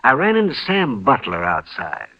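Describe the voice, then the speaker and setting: Older western doc, American, fussy, excitable, very animated pitch, muffled vintage radio. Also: male voice, moderate pace. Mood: grave, urgent. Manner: fussy, excitable, repeats himself. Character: fussy, weary older male frontier town doctor. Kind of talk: 1950s radio western acting. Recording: dull vintage radio recording.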